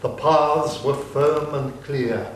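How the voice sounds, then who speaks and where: Deliberate male narrator, very echoey. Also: a little high. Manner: solemn, deliberate. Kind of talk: dramatic scripted epic narration. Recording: very echoey room with faint noise.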